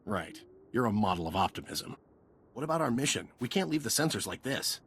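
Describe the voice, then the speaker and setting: An actor playing a gloomy, dutiful male soldier in a video game, husky and dryly serious. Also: medium pitch, very animated, a little fast, fairly bright tone, full character voice. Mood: grim, dutiful. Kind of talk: scripted video game cutscene acting.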